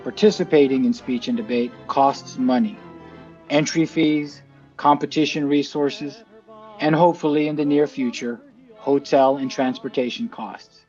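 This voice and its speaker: A man reading a scripted introduction to a program in a measured, articulate presenter's delivery. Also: medium pitch, fairly even intonation, darkish tone, dull audio, soft background music. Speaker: team representative introducing the show.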